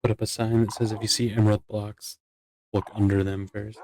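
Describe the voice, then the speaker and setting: A man's voice: fairly low pitch, flat delivery, fairly quick, mellow, no echo. A man chatting casually and jokingly while playing a game with friends. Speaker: player in a Minecraft roleplay group. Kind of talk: casual gaming chat.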